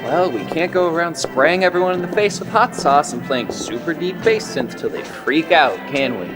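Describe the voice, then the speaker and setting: An actor playing an easygoing, goofy musician sidekick with casual, earnest delivery in a comedic audio drama. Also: male voice, on the high side, expressive, moderate pace, over music, natural voice, poor audio. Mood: awed, curious.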